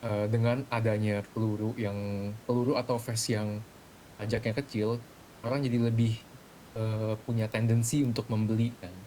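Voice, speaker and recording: A man's voice: flat delivery, normal speed, dark tone, poor audio. A man casually laying out his points step by step in an online game voice chat. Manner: explanatory, making points step by step. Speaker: player in a role-play gang meeting. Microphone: gaming voice chat microphone. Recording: rumbly background noise on game voice chat.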